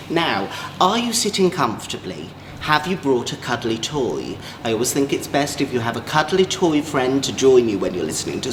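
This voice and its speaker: A man reading children's stories as a drag queen, theatrical and playful, with campy asides to young listeners. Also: medium pitch. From England. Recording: roomy echo, faint background noise.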